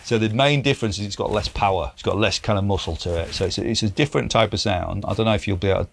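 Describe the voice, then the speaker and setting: A man's voice: lowish voice, very fast. A man presenting guitar gear in a casual, explanatory, matey chat, speaking informally. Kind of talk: casual product demo chat. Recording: clear background noise.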